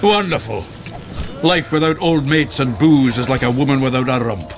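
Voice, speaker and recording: An actor playing a gloomy, crude, hard-drinking dwarf in a video game, speaking in a blunt, grumbling, earthy way. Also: male voice, medium pitch, moderate pace, background noise, lightly acted. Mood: glum, chummy. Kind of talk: video game dialogue acting.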